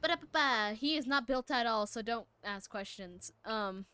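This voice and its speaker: A woman livestreaming a game with casual commentary, muttering asides and haltingly reading text aloud, correcting herself as she goes. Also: a little high, lively intonation, bright, forceful tone, slight background noise. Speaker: live game streamer. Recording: music playing behind the voice. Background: game music.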